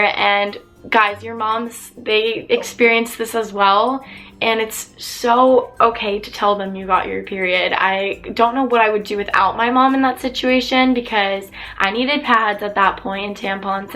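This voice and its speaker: A young woman vlogger chatting openly to camera while answering viewer questions, her voice a little raspy from losing it. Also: medium pitch, pushed and bright. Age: young adult. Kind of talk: casual chat to camera.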